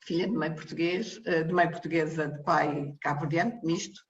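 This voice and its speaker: A woman from Cape Verde, the technical director of a social care charity, giving a personal testimony in a hesitant way, full of pauses and restarts. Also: low voice, fairly even intonation, a little hiss or hum. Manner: hesitant, many fillers and restarts. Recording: echoey, muffled room sound.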